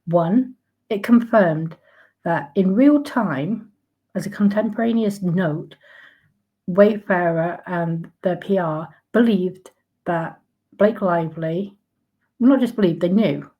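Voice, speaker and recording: Croaky-voiced woman ranting. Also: lowish voice, slow, lo-fi sound. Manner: outraged, sarcastic, with frequent fillers and restarts. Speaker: commentary vlogger. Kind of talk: casual ranting commentary.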